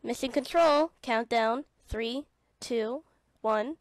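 High-voiced woman reading a children's storybook. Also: slow, no echo. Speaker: children's book narrator. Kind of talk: read-along storybook narration.

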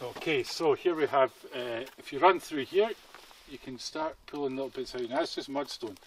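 A man's voice: mid-range voice, moderate pace. A man in his fifties giving an informal, explanatory talk as a geology guide in the field, repeating phrases for emphasis. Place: outdoors at a quarry rock face. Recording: clearly noisy outdoor recording with clicks.

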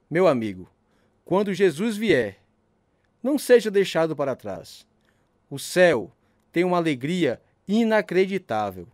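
A man's voice: fairly high pitch, a little mellow, no echo, studio quality. An actor playing a fervent pastor who preaches and appeals to his listener in an audio drama. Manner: fervent, exhortative. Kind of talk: evangelistic sermon in audio drama.

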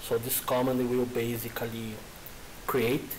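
A Brazilian man, a Portuguese speaker, talking through a software tutorial in hesitant English with a Brazilian accent and frequent fillers. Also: medium pitch, level tone, slight background noise, low-quality recording. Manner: halting, informal, many uh fillers. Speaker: software developer. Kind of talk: screen-recorded coding tutorial.

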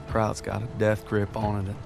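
Casting: young American GI, low creaky voice, weary and earnest, war game narration over prominent music. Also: male voice, monotone, a little fast. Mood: weary, reflective. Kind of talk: scripted war drama, reflective narration.

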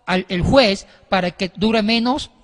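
A man's voice: sits high, measured pace. A middle-aged man on a panel explaining religious ideas didactically, often repeating and rephrasing himself mid-sentence. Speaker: panel guest explaining doctrine. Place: wood-panelled indoor studio or meeting room. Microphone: handheld microphone. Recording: very echoey room.

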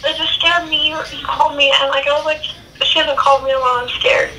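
A woman's voice: high-pitched, little pitch movement, fast, sharp tone. A young woman chatting casually, heard through a phone speaker. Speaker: friend receiving a phone call. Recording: distant phone speaker with music behind.

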